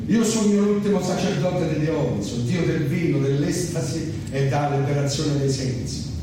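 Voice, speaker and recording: A man voicing a grandiose mystic priest of Dionysus with a Calabrian accent, solemn and incantatory in a stage monologue. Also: high voice. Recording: distant, very echoey hall, clear noise.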